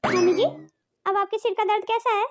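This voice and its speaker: A woman's voice: very high voice, steady tone, fairly quick. A woman voicing a dutiful, polite young daughter-in-law in a Hindi cartoon family drama. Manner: polite, deferential.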